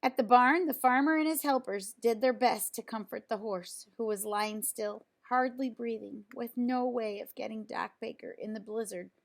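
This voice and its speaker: Woman, fairly high voice, expressive storybook read-aloud, simple delivery for young children. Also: normal speed, creaky, few pauses. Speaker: storybook reader. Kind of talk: children's picture-book read-aloud with asides to young listeners.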